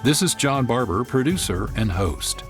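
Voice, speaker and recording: A man hosting a radio programme with measured, scripted announcer-like narration. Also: mid-range voice, animated, music in the background. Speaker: producer and host.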